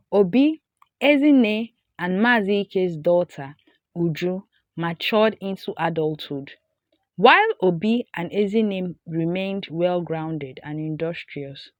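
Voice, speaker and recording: Nigerian female storyteller, unhurried scripted folktale narration, close dry sound. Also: on the low side, no room sound.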